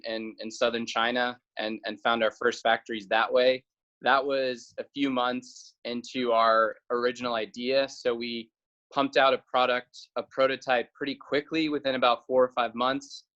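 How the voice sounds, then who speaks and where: A man from South Carolina answers as an interview guest. His pitch is average and moves very little, and he is slightly hesitant. The recording sounds dull. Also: flat delivery, unhurried, a bit bright. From South Carolina, United States. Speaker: interview guest, company founder.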